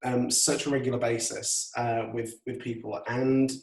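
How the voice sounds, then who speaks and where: A man's voice: medium pitch, little pitch movement, normal speed, darkish tone. A middle-aged man from Yorkshire with an English accent, chatting as an interview guest in a hesitant, thoughtful way. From Yorkshire, England. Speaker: podcast guest, photographer. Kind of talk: casual interview chat. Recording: roomy echo, distant from mic.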